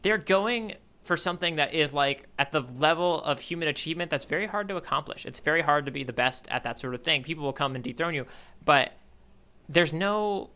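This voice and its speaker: An American man co-hosting a podcast in casual chat, reflective and halting as he circles back to rephrase his thoughts. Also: a little high, animated, sharp tone. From United States. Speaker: podcast co-host.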